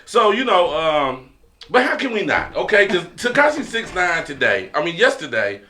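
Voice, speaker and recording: A man hosting a casual hip-hop talk podcast, animated and slangy, often repeating catchphrases. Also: sits high, normal speed, a little hiss or hum. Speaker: podcast host.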